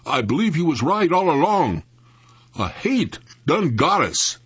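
A man voicing a take-charge but rattled country mule driver in a spooky story, with a rural Southern US accent and a sharp, urgent, folksy delivery. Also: on the high side, big pitch swings, a little slow, breathy edge, no room sound, muffled recording, pitched above the performer's own voice, heavily acted. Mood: shaken. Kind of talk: dramatic storytelling.

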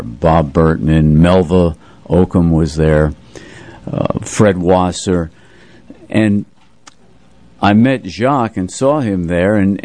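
Man in his seventies, low pitch, dark warm tone, dry sound, conversational radio reminiscing. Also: dry recording. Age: 70s. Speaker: radio music show host.

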